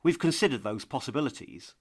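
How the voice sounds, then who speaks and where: A man's voice: medium pitch, animated, fairly quick, a little mellow, no room sound, clean studio sound. A man hosting a scripted podcast, narrating and putting interview questions to a guest.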